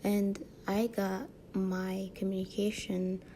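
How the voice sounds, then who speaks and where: A woman's voice: mid-range voice, level tone, slow pace, a little hiss or hum. A woman with a light French accent talking casually to her viewers, rambling with many fillers and "you know what I mean?" tag questions. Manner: frequent soft laughs. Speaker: vlogger. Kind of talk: casual monologue to camera.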